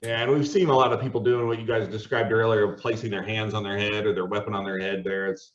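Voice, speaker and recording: Roomy, distant-miked man with a low voice. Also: fairly even intonation, quick pace. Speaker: host. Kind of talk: casual talk show hosting. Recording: roomy, distant, dull microphone sound.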